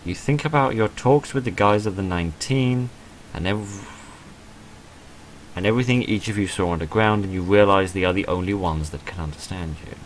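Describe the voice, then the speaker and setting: Man, deep voice, casual let's-play commentary, understated and measured delivery, some background noise. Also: poor audio. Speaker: video game let's-player and reviewer. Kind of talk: casual game playthrough commentary, reading text aloud and reviewing.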